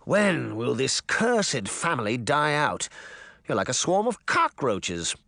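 Male narrator doing a pompous bully mayor: high, swooping, condescending, in a dry close recording. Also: sing-song, slow, gravelly, pushed and bright, no room sound, full character voice. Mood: sneering.

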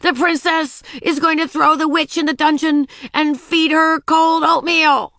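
A woman voicing a defiant, emotional little girl from a storybook, loud, insistent and plaintive. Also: high-pitched, fairly even intonation, measured pace, no room sound, studio quality, pitched above the performer's own voice.

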